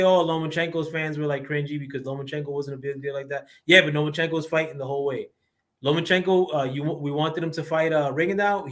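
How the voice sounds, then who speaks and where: A man with a squeaky voice ranting casually and profanely on his live stream, rambling with many fillers. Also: a bit bright. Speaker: livestream host. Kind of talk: casual boxing rant.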